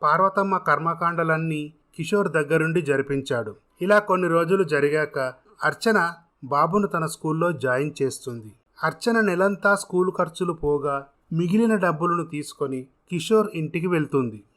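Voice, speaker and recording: Bright, forceful male storyteller. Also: on the high side, normal speed. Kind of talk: scripted dramatic story narration.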